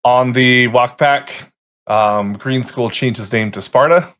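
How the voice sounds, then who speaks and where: A man's voice: mid-range voice, takes it slowly, a bit bright. A man running a tabletop game as game master, casually recapping the last session with frequent self-corrections, over a voice call.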